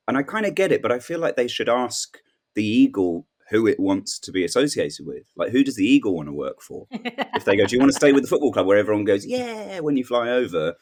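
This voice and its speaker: A man's voice: lowish voice, a little mellow. A man chatting casually as a comedy panel guest, telling a rambling story and acting out imagined dialogue. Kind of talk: casual comic news chat.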